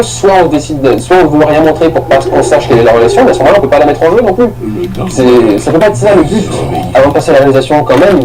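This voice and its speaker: A man's voice: on the high side, low-quality recording. A man giving a casual, rambling film review, full of restarts and slang.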